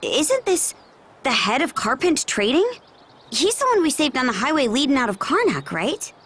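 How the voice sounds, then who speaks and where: A woman's voice: sits high, big pitch swings, normal speed, a bit bright. An actress playing a plain-spoken young woman fighter in a video game, talking in a casual, wry, reflective way. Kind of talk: video game cutscene voice acting.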